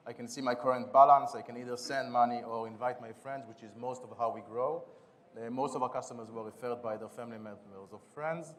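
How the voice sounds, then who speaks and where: A man from Israel with an Israeli accent, giving a quick, businesslike conference pitch and product demo as a startup founder, with some restarts. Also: steady tone. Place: conference stage.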